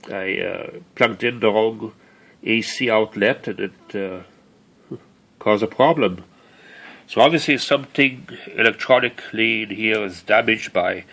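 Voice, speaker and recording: A middle-aged man with a fairly low voice talks through a hands-on demonstration without a script. He thinks aloud as he goes, halting, restarting and rambling a little like a hobbyist explaining his own gear. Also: a bit bright. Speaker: hobbyist showing his broken tape recorder. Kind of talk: unscripted handheld demonstration narration. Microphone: handheld camera or phone mic.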